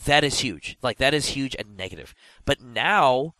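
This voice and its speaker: A man's voice: medium pitch, normal speed, dry recording. A man with a stuffy, blocked nose holds forth on a casual gaming podcast, circling back and restarting his sentences as he goes. His voice sits in an ordinary middle range. The sound is close and dry, with no room around it. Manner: animated, rambling with frequent restarts and fillers. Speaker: podcast co-host.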